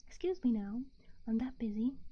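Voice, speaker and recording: A woman voicing a bustling, forgetful male innkeeper, in a hurried, chatty whisper, on muffled lo-fi audio. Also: a little high, expressive, slightly rough.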